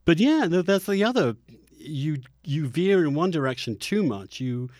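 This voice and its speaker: Middle-aged man, emphatic and halting, dry sound. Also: a little high, sing-song, a little mellow, no room sound. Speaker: podcast host. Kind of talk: conversational interview with opinionated commentary.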